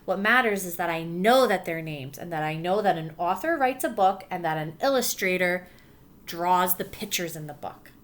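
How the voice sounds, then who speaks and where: A woman's voice: on the low side, animated. A woman teacher reading a picture book aloud to her class, stopping to explain and check understanding. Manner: warm encouraging teacher voice, pausing to explain.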